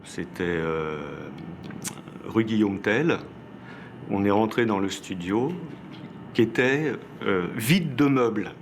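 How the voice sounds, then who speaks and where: A man's voice: fairly low pitch, animated, slow, long pauses. A man recalling his years as an animation studio worker in an interview. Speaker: former animation studio staffer. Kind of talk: reminiscing in interview. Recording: faint background noise.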